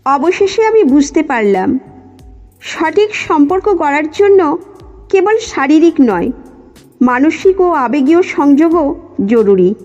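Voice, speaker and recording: A woman's voice: high voice, very animated, slow, long pauses, a little mellow. A woman reading a scripted first-person story as its narrator. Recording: muffled lo-fi audio with music. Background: background music.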